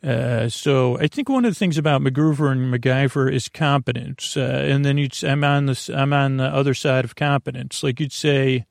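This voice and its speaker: A man with a gravelly, creaky voice talks as a podcast host settling listeners in for the night. He wanders through his sentences hesitantly, sidetracking himself and gently putting himself down. The sound is dry and close, with no room around it. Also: medium pitch, normal speed, hardly any pauses, dry recording. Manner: meandering, hesitant, self-deprecating, digressive. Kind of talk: rambling bedtime monologue to listeners.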